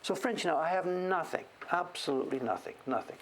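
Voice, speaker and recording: A man's voice: a little high, animated, fairly quick, background noise. A middle-aged man hosting a show-and-tell, chatting casually in a rambling, self-deprecating way. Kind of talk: casual livestream chat.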